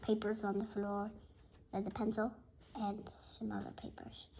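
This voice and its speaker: A child reading a bedtime story aloud in an expressive, halting way, with asides to listeners. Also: medium pitch, noisy background. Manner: expressive, halting, shouts out dialogue. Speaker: storybook reader.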